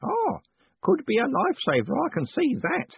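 A man's voice: very high-pitched, wildly swooping pitch, moderate pace, creaky, slightly rough, no echo, pitched above the performer's own voice, heavily acted. A man voicing a timid, elderly lodging keeper in an audiobook, nervous, hesitant and eager to please. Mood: puzzled, agreeable. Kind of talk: audiobook character voice. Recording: narrow phone-like band.

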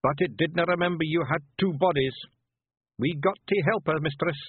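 A man voicing a small, fierce male fairy chieftain with a broad Scottish accent and a brash, shouty manner. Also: a little high, moderate pace, slightly rough, no echo, pitched above the performer's own voice. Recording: dry tinny phone-like sound.

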